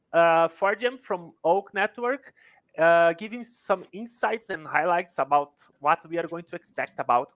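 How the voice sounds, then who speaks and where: A man in his thirties hosting an interview, asking questions slowly and haltingly with many restarts. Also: high voice, a bit bright, no room sound. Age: 30s. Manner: halting, repeats words, slow. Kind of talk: casual interview.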